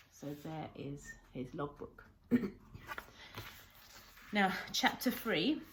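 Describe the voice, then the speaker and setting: A woman reading a storybook aloud like a primary teacher, with deliberate, expressive phrasing. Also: sits low, a little hiss or hum, rough recording.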